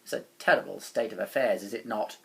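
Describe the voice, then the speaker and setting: A woman's voice: extremely low pitch, fast, creaky, a bit bright, pitched below the performer's own voice, full character voice. A woman voicing a kindly male doorman of a storybook city, speaking in rhyme with grand, emphatic delivery. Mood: troubled, explaining. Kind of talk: storybook character reading in rhyme.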